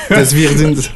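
A man voicing a meek, grateful French immigrant father who speaks haltingly in broken grammar with a French accent. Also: a little high, heavy background noise. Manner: halting, broken non-native grammar, earnest. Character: meek, grateful French immigrant stepfather pleading with his son.

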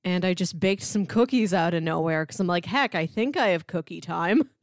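A woman's voice: lowish voice, normal speed, no room sound, clean studio sound. A woman co-hosting a podcast, chatting casually and conversationally about films. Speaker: podcast co-host.

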